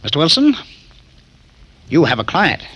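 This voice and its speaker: An actor playing a wealthy, formal, somewhat uneasy gentleman in 1940s radio crime drama style. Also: a little high, wildly swooping pitch, dull audio, heavy background noise. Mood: uneasy, guarded. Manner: formal, halting when uneasy.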